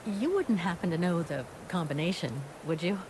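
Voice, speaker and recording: Actress as a lowish-voiced, inquisitive woman investigator. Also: lively intonation, moderate pace, noisy background, natural voice. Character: polite, inquisitive woman investigator, calm and considerate. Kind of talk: video game voice acting.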